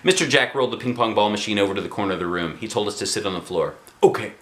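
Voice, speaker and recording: A man narrating a children's book aloud with an expressive, dramatic delivery. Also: lowish voice, rapid-fire. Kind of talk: animated children's storybook reading. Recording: echoey room.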